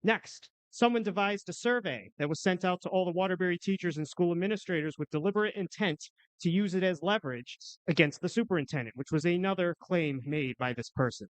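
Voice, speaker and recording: A man with a notably high, smooth and clear voice addresses a public meeting. He reads prepared remarks in an argumentative, emphatic way, leaning on rhetorical phrasing. The sound is dry, with little room echo. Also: fairly quick. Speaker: public commenter at a school board meeting.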